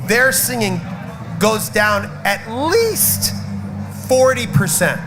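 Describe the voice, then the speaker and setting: A man's voice: sits high, big pitch swings, slow. A man who sings in a rock band, talking casually and emphatically, with a slight cough and cold. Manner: outspoken, emphatic, swears. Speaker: guest rock singer. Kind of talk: casual festival chat. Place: festival backstage area. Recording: loud music behind.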